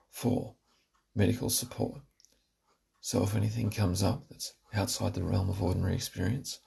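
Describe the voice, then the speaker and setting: A man narrating a scripted guided relaxation in a soothing, deliberate, instructional way, with a gravelly voice. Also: fairly low pitch, a little mellow.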